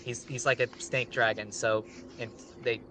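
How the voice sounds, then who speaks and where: A man's voice: mid-range voice, level tone, normal speed, pushed and bright. A man telling a story casually on a live stream, rambling with conversational asides.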